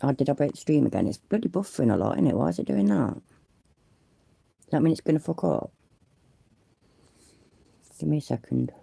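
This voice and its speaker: A woman's voice: low voice, big pitch swings, moderate pace, vocal fry, long pauses, mellow, breathy edge, no echo. Congested, sweary woman on a livestream. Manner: chatty, sweary, self-deprecating. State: congested with a cold. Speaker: livestream host. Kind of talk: casual live stream chat. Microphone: phone.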